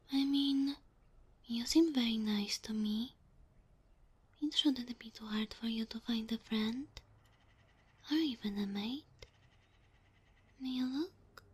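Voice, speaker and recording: Woman voicing a breathy, high-pitched, sweet fox-girl spirit. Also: full character voice. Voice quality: soft, breathy, often whispering. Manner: gentle, bashful, flustered hesitations. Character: sweet, shy, submissive young female kitsune fox spirit familiar. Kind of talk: ASMR roleplay.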